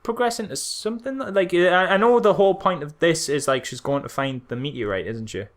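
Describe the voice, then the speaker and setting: A British man co-hosting a film podcast, chatting casually and comedically, animated and given to exasperated repetition for emphasis. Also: a little high, brisk.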